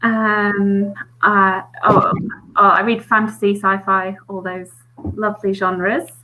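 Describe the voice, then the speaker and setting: A woman's voice: mid-range voice, little pitch movement, slow pace, dull audio, slight background noise. A British woman chatting informally as a guest book vlogger, a little hesitant and giggly. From Britain. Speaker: book YouTuber, panel guest. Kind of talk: casual chat.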